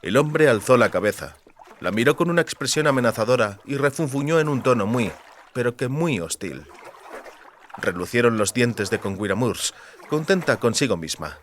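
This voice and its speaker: A man's voice: mid-range voice, animated, normal speed, fairly bright tone, a little hiss or hum. A man reading scripted literary fantasy fiction as an audiobook narrator. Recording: clear water and boat ambience behind. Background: lapping water and rowing boat sounds.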